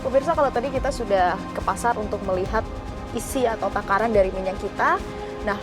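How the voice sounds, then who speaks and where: A woman's voice: mid-range voice, fast talker, over music. A woman working as a TV reporter, explaining things to viewers in a conversational, improvised on-location style.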